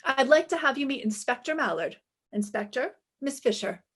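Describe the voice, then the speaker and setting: Woman playing a sassy, higher-voiced lady detective. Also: animated, moderate pace, fairly bright tone, husky, exaggerated voice. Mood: polite, breezy. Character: breezy, wry female private detective. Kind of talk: 1950s-style radio play acting and narration.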